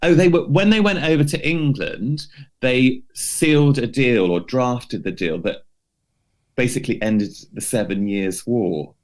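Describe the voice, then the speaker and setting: A man's voice: medium pitch, expressive, a little slow, a little mellow. A man co-hosting a podcast, telling a history story casually and unscripted, in a hesitant, loosely phrased way with some hedging. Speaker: podcast co-host presenting a history feature.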